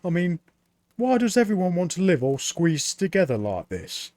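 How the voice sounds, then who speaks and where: A man's voice: high-pitched, sing-song, normal speed, mellow, no room sound, clean studio sound, voice pushed up. A man voicing Mort, a nervous, earnest young apprentice, with hesitant, stammering, polite delivery. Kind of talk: audiobook character reading.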